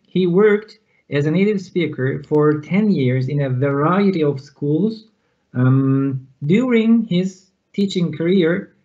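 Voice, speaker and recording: A man hosting an online teacher conference, introducing speakers in a measured, hesitant way with fillers, over a video call. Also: on the high side, a little mellow. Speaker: conference host. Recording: dull lo-fi call audio.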